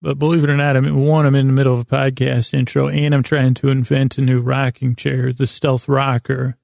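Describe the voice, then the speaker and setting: A man rambles through a bedtime monologue as a podcast host. His voice is very gravelly and creaky, with little pitch movement, in a close, dry recording. He meanders and halts, pausing mid-thought to restate what he just said. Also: little pitch movement, darkish tone, no echo. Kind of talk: rambling bedtime monologue to help listeners sleep.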